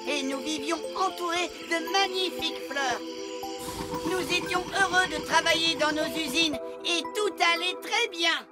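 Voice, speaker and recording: An earnest alien visitor in a children's cartoon. The voice is extremely high, with formal, literal phrasing, over prominent music. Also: female voice, unhurried. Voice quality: high-pitched. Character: polite, earnest alien visitor from another planet. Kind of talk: animated children's cartoon acting. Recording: loud music behind.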